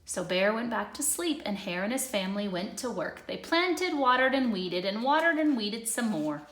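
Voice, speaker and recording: A woman narrating a children's storybook in an expressive read-aloud style. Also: mid-range voice, normal speed, a little hiss or hum. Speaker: storybook reader. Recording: echoey room.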